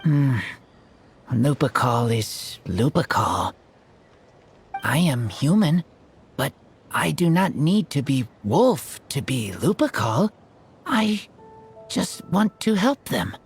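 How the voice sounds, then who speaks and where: Slow, halting wild boy. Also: mid-range voice, big pitch swings, faint music behind. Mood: earnest, unsure. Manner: halting broken sentences, earnest and blunt. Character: Razor, earnest wild teenage boy raised by wolves. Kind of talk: video game voice acting.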